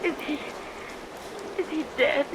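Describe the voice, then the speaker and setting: A woman's voice: takes it slowly, lightly acted. A woman playing an anxious, pleading young daughter of a scientist in a vintage radio play, with a breathless, melodramatic delivery. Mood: terrified. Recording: noisy vintage radio recording under rain.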